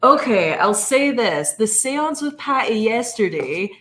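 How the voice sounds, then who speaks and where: A woman's voice: on the high side, big pitch swings, measured pace, fairly bright tone. A woman with a Scottish accent, chatting casually as a guest on a paranormal convention panel, laughing easily and using many fillers. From Scotland.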